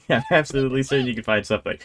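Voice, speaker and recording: A man's voice: mid-range voice, rapid-fire, a little hiss or hum. A man casually chatting and commenting as a video game streamer, in a rambling, wry manner.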